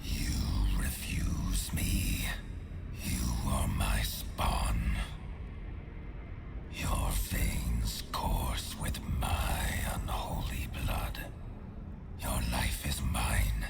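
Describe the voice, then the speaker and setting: An actor voicing an ancient, menacing god of murder in a video game, with a hissing rasp and an imperious, portentous delivery. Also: male voice, extremely low pitch, fairly even intonation, drawn-out words, heavily acted. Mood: wrathful, threatening. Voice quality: gravelly, hissing whispered rasp. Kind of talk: dramatic video game cutscene acting.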